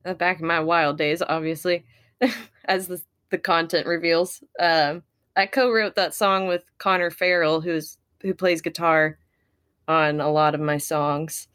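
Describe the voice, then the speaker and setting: Casting: young American woman, lower register, recorded dry, relaxed-format interview guest talking casually. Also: unhurried, clean tone, no echo. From Ocala, Florida, United States. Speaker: guest, singer-songwriter.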